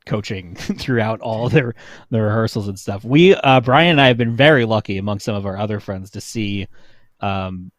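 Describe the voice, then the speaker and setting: A man's voice: fairly low pitch, normal speed, no room sound. An American man in his thirties co-hosting a casual chat, explaining things in an easygoing way. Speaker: podcast co-host.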